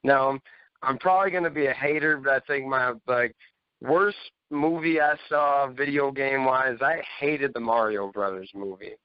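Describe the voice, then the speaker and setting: Man, mid pitch, smooth, casual fan chat, informal, lo-fi dry recording. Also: normal speed, no echo. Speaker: returning panel guest.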